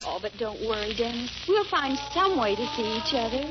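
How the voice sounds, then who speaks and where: A woman's voice: a little high, animated, a little fast, lightly acted. A woman playing an anxious, caring young sweetheart in 1940s radio comedy style. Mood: soft, reassuring. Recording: muffled, noisy old radio broadcast.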